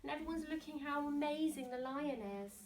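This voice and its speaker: A woman's voice: high voice, a little fast, a little mellow, distant mic, a little hiss or hum. A woman reading a picture book to young children theatrically, with asides and questions to her listeners. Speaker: storybook reader. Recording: echoey room.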